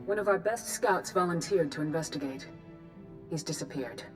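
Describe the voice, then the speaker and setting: A woman playing a grave, wise leader of a knightly order in a video game, measured and solemn. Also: low voice, music in the background, close to an everyday voice. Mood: grave, composed. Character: grave, wise female Jedi master leader.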